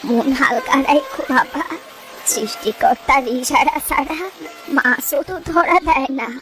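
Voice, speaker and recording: A woman voicing a poor, caring old village mother with an East Bengali accent, gently fretting and advising. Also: sits high, unhurried, soft background music. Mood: resigned, caring.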